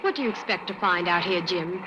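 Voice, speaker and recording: A woman's voice: lowish voice, fairly quick, heavy background noise, close to an everyday voice. A woman playing a curious young assistant. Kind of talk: 1940s radio acting. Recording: dull old radio recording.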